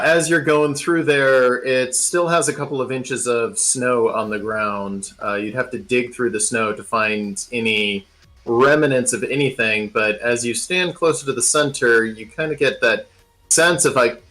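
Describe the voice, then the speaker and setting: A man improvising narration as a game master, pausing as he describes the scene. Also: medium pitch, bright, forceful tone, faint music behind.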